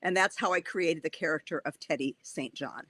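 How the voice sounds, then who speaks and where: A woman's voice: low-pitched, a little fast, bright, forceful tone. A woman in her sixties from Wisconsin, with a Wisconsin accent, chatting enthusiastically as an author guest at a bookstore talk. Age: 60s. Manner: chatty, enthusiastic, laughs often.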